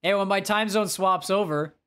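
A man's voice: high-pitched, moderate pace, clean tone. Nasal man chatting on a game stream. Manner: expressive, self-deprecating, breaking off to answer chat. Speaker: game livestreamer. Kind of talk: casual livestream chat while gaming.

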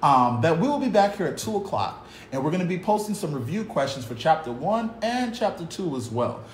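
A man's voice: on the high side, big pitch swings, brisk. A man in his thirties reading a novel aloud for kids, earnest and dramatic, recorded on a phone at a table indoors. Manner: earnest, dramatic, upbeat at the close. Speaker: youth club staff reading a novel aloud. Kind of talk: read-aloud storytelling for kids. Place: cinderblock room at a table, indoors. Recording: echoey bare room, faint hum.

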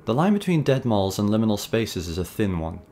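A man hosting a video essay, reading scripted narration in a measured, wry, conversational way. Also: on the low side, big pitch swings, mellow, slight background noise. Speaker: host and narrator of a video series. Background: background music.

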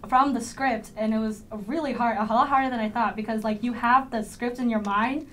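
A woman's voice: fairly high pitch, steady tone, brisk, a bit bright. A teenage woman, a student actor, talking casually and informally in a panel discussion. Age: teens. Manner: informal, heavy use of "like". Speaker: student actor being interviewed. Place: small indoor studio. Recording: slightly distant mic, faint room noise.

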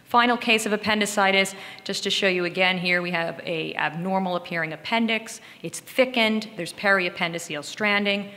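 A woman's voice: medium pitch, normal speed, hardly any pauses, bright, forceful tone, big-room sound. A woman giving a case-based medical lecture, explaining as she talks through slides. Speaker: radiologist lecturer.

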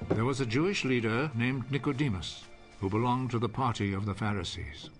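A man narrating a biblical film in a measured, solemn, scripted style. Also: medium pitch, close to an everyday voice. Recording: film soundtrack with music behind. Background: music.

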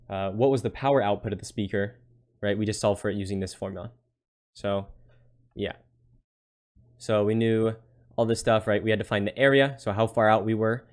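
A man giving a casual tutorial as a tutor, explaining step by step and often checking in with "right?". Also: lowish voice. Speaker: physics tutor. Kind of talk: casual worked-problem tutorial.

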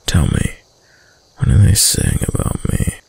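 A man playing a dominant, seductive dark prince in an intimate roleplay audio drama, suave, hushed and deliberate, with a deep, gravelly, breathy voice. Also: lightly acted. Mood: smooth, coaxing. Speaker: voice actor.

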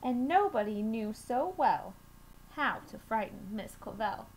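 A young woman reading a picture book aloud to children, with measured, deliberate phrasing and expressive emphasis, recorded on a webcam mic in a small room. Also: fairly high pitch. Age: young adult. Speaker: children's storytime reader at a school. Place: small room at home, indoors. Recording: noisy home webcam recording.

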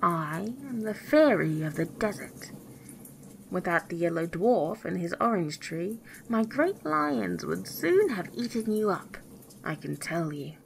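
Woman voicing a menacing ancient fairy crone, creaky. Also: on the low side, very animated, measured pace, slight background noise, exaggerated voice, low-quality recording. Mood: threatening, indignant. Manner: threatening, imperious.

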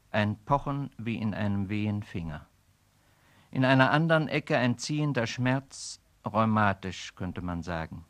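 A man's voice: medium pitch, lightly acted. An actor in a radio play delivering a lonely man's reflective, literary inner monologue about phantom pains. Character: lonely man brooding over phantom pains.

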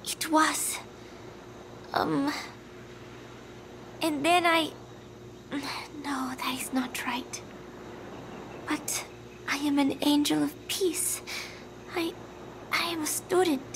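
Frail, haunted young woman for game drama: high, gentle, breathy, confused, slow and hesitant delivery. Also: fairly even intonation, noisy background. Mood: dazed. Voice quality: breathy, often dropping to a whisper. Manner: halting, hesitant, fragmented phrases. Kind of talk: dramatic video game cutscene acting.

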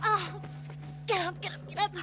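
A woman's voice: very high-pitched, steady tone, moderate pace, music in the background. A woman playing a wary, tough American teenage girl survivor in a video game, talking in a curt, guarded way. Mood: frightened, pleading. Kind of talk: naturalistic video game acting.

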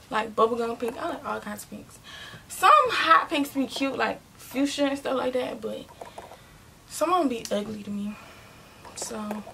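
A nineteen-year-old woman, chatting casually and rambling through a Q and A in her bedroom, often correcting herself and dropping into quiet asides. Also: a little high, vocal fry, slight background noise. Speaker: vlogger. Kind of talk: casual Q and A talking to viewers. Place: bedroom, indoors, small.

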